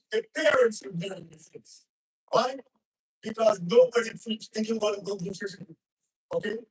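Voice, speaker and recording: A man's voice: high voice, breathy edge, rough recording. A man from the audience making an impassioned public comment, pressing his points with repeated "okay?". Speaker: audience member asking a question.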